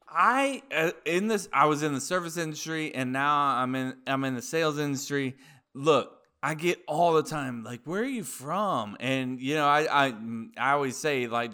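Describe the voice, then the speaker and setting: A man's voice: medium pitch, sharp tone, clean tone. A man, co-hosting a podcast and rambling in a jokey, profane way. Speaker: podcast co-host. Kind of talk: casual podcast chat.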